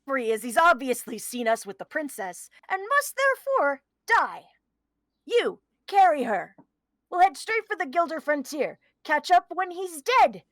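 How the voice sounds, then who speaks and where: Casting: woman playing scheming bully mastermind for fairy-tale table read, shrill-high, wildly swooping delivery, imperious. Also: very animated, unhurried, fairly bright tone, no echo, heavily acted. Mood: curt, commanding. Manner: imperious, exclamatory, scolding. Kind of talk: live table read of a film script.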